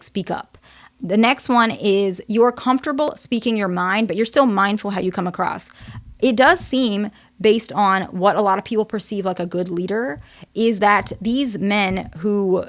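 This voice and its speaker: Woman, young adult, soft-spoken, quiet voice, candid, hedging, casual advice talk, mid-pitched. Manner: candid, hedging, talks in short restarting phrases. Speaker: therapist and channel host.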